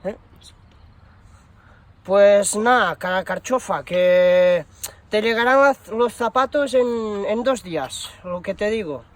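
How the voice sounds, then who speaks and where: A man's voice: high voice, slow. A man playing a bumbling shoe shop promotions employee on a prank call, hesitant and rambling, full of fillers and slang. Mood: cheeky, deadpan. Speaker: prank caller. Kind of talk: improvised prank phone call.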